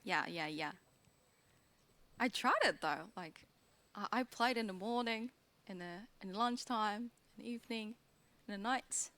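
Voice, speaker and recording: A woman musician chatting as a guest, talking haltingly and searching for words with frequent giggles. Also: mid-range voice, sharp tone. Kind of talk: casual interview chat.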